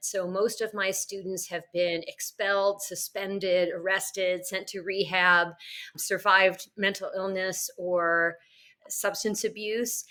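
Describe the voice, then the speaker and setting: A woman's voice: medium pitch, bright, forceful tone. A middle-aged woman, a podcast guest, telling her own story candidly and plainly. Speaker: guest, educational crisis manager. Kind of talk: interview storytelling.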